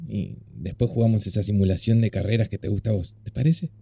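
An easygoing, affectionate husband in an audio drama. His voice is on the low side, very mellow and rough with creak. He talks gently, in a homely way. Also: steady tone, fast talker. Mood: tender. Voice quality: gravelly, husky, mellow.

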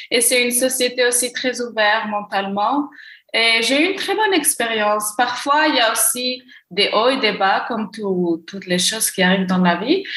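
A young Moroccan woman answering interview questions, often pausing and restarting as she searches for words. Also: on the high side, a bit bright, roomy sound. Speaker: podcast guest, gender equality consultant.

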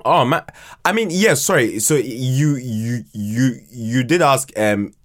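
A man's voice: medium pitch, slow pace. A young man with a Ghanaian accent chatting casually in an interview, often repeating words and restarting as he searches for his answer. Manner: hesitant, stammering repeats and false starts. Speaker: podcast guest, writer and creator.

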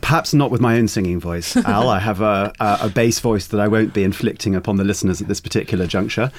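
A man's voice: on the low side, fast talker. A man, a pop critic guest on a podcast, speaking in a wry, articulate and formal way. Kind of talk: podcast discussion.

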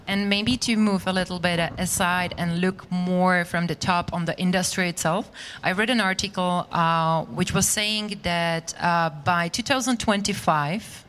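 A woman's voice: mid-range voice, fairly bright tone, slight background noise. A woman whose first language is Czech, interviewing a guest in English with a Czech accent and asking long, probing questions.

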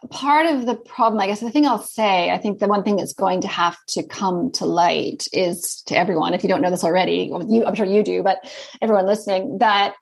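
A woman's voice: mid-range voice, a little fast, vocal fry, breathy edge. A middle-aged woman from Canada with a Canadian accent, a guest expert explaining things steadily in a podcast interview.

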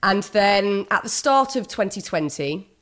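A middle-aged woman with a slightly husky voice, speaking as a guest in an interview. She talks earnestly and openly, restarting phrases as she thinks aloud, at a middling pitch. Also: lively intonation, a little slow, fairly bright tone. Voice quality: a little husky at times. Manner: candid, earnest, with frequent restarts and quoted inner thoughts. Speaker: guest author and broadcaster. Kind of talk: conversational interview.

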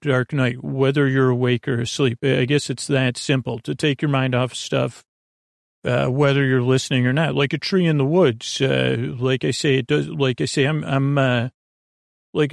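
A man hosting a bedtime podcast in a meandering, halting monologue, trailing off mid-sentence and gently reassuring the listener. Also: medium pitch, creaky, no room sound, clean studio sound. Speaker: podcast host.

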